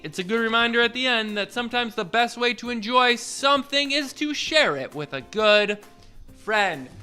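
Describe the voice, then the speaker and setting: A man hosting a children's read-along, reading picture books in a playful, expressive way with asides to the young listeners. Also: very high voice, smooth. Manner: playful, expressive, drawn-out delivery.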